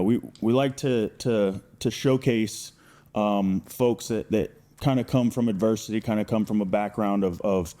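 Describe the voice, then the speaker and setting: Low, relaxed male podcast host. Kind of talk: casual podcast introduction and interviewing.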